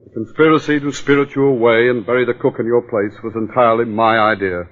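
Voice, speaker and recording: An actor playing a cold, resentful doctor and plotter in 1940s radio drama style. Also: male voice, medium pitch, fairly even intonation, fast, natural voice. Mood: bitter, confessing. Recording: thin, phone-like old radio recording.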